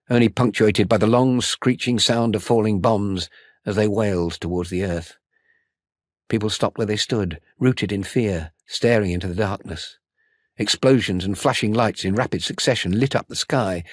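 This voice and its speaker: Male audiobook narrator: dramatic, measured, slightly low pitch, very dry recording. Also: breathy edge, dry recording. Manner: measured, suspenseful delivery.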